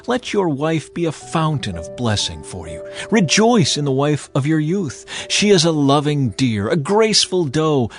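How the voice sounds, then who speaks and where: Male narrator for dramatized scripture audiobook, mid-pitched, highly varied intonation, theatrical exhorting delivery, faint music underneath.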